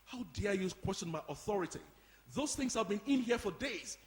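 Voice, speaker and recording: A man's voice: sits high, expressive, brisk. A man playing an officious, indignant caretaker who lays down orders in a pompous, commanding way in a live radio play. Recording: echoey hall, live performance.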